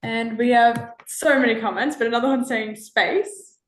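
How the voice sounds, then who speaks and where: A teenage girl leads an icebreaker for other students. She speaks hesitantly and often starts with "um". Her voice is a bit high and echoes around the room. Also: fairly even intonation, normal speed. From Australia. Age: teens. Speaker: student presenter.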